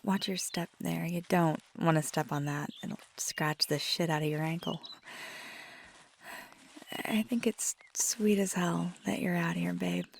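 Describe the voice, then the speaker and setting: A woman playing a feisty, affectionate magical girlfriend in an intimate ASMR roleplay, speaking softly and tenderly, often near a whisper. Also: lowish voice, creaky, slight background noise. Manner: tender, teasing, lapsing into whispers.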